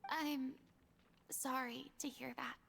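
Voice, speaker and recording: A woman's voice: high voice, little pitch movement, takes it slowly, creaky, a bit bright, breathy edge, full character voice. An actress playing a self-important, theatrical young woman in a video game, haughty yet sensitive, with grand phrasing. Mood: gentle concern.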